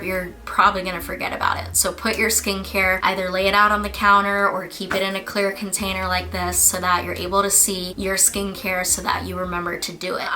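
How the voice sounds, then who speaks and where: A woman esthetician hosting a casual skincare vlog, her voice a little raspy from a lingering cough, chatting through her routine with fillers. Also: mid-range voice, poor audio. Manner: chatty, explaining step by step with fillers. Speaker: licensed esthetician and beauty channel host. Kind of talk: casual product-review vlog.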